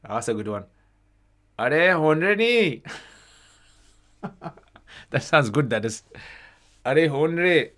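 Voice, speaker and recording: A man's voice: a little high, big pitch swings, slow, pauses often, a bit bright. Informal man chatting on a livestream. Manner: informal, conversational, sprinkles in Arabic phrases. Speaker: livestream host answering questions on Islam. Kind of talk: casual livestream Q&A chat, reading out questions.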